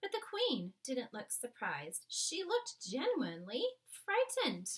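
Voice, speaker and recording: A woman's voice: high-pitched, huge pitch swings, slow. A woman reading a children's book aloud as narrator, with dramatic, emphatic storytelling. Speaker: storybook reader.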